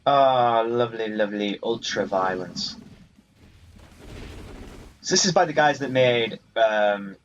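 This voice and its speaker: A man's voice: mid-range voice, lively intonation, takes it slowly, long pauses. A man giving casual, rambling let's play commentary on a video game. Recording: muffled sound, game music behind. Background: video game music.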